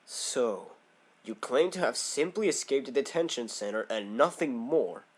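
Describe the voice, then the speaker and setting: A man playing a stern, confident prosecutor in a fan audio drama, speaking formally and forcefully. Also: medium pitch, lively intonation, unhurried, lightly acted. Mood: stern, assured. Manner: formal, declarative courtroom delivery. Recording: loud music bed under voice.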